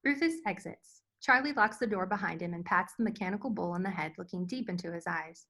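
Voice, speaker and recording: A woman's voice: fairly low pitch, brisk, bright, forceful tone. A woman reading screenplay stage directions as scripted narration for a table read.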